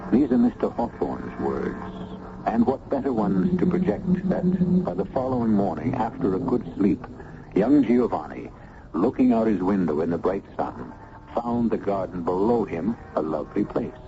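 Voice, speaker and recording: Deep-voiced male radio mystery narrator. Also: big pitch swings, noisy background. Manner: theatrical, measured, literary. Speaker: host and narrator. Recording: dull old radio recording. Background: music.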